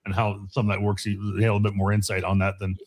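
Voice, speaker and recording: Quick-talking man hosting a casual chat. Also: lowish voice, completely flat delivery. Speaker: show host.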